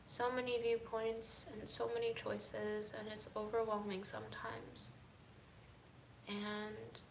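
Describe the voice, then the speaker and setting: A tired woman vlogging casually to camera, musing in a drifting way that moves between soft and emphatic. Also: medium pitch, reverberant, a little hiss or hum. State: fatigued. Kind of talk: casual reflective chat to camera.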